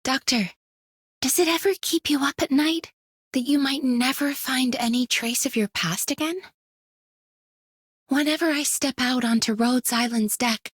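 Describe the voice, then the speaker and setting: Wistful young game heroine: high, breathy, close and dry. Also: female voice, dry recording. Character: wistful young woman with amnesia, gently teasing. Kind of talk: scripted game character voice line.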